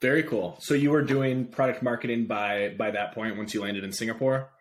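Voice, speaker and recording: A man's voice: mid-range voice, fairly even intonation, brisk, slight background noise. A man hosting a podcast in a casual, informal and affirming conversational style. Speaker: podcast host.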